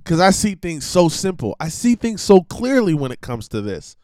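Man, slightly hoarse, high-pitched, emphatic, preachy, swearing, dry sound. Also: sing-song, normal speed, darkish tone, no echo. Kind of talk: casual monologue rant.

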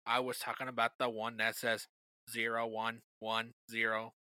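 A man voicing a stilted robot character in a flat, deadpan monotone for a comic bit. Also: medium pitch, normal speed, clean tone, no room sound. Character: stilted monotone robot telling binary jokes.

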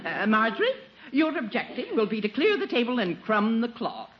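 An actress playing a prim, bossy older aunt in a 1940s radio comedy, commanding and fussy. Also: female voice, a little high, big pitch swings, moderate pace. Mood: brisk, commanding. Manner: commanding, fussy, self-assured. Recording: muffled, faintly noisy 1940s radio recording.

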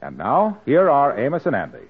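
A man's voice: medium pitch, huge pitch swings, a little fast, slightly rough. A man working as a 1940s radio announcer, selling with an emphatic, scripted delivery. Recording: tinny, narrow-band old radio recording.

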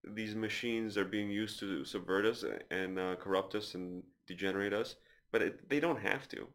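A man's voice: on the low side, normal speed, a bit bright. A man hosting a casual podcast, talking in a loose, rambling way with crude jokes. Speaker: podcast host.

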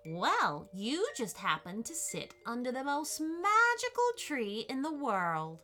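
Woman playing a genial, bright-voiced magical tomcat. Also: sits high, big pitch swings, takes it slowly, faint music behind, voice pushed up, heavily acted. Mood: proud. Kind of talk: children's storybook character voice.

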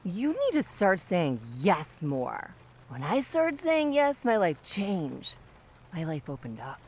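An actress plays a tipsy, pushy young woman in a comic audio play. Her voice sits slightly low but is very animated. She draws her words out slowly as she needles and teases, insistent and nosy. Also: exaggerated voice. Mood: teasing, probing. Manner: chatty, teasing, insistent. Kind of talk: audio drama comedy acting.

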